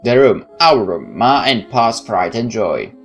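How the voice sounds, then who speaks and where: A let's player who reads game text aloud and thinks out loud in hesitant, casual asides. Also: medium pitch, big pitch swings, a little slow. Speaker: gaming video host. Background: game music.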